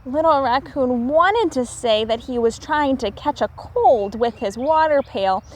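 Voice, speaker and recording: Female read-aloud teacher: high, very animated, unhurried storytelling for children. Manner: expressive, deliberate.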